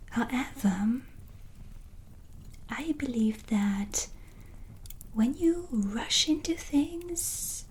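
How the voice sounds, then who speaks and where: A woman playing a gentle, calm witch hostess in a soft-spoken, whispered ASMR roleplay, soothing and intimate as if speaking to a guest. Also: medium pitch, a little hiss or hum, lightly acted. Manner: slow, soothing, intimate, addressing the listener as guest. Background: soft ambience.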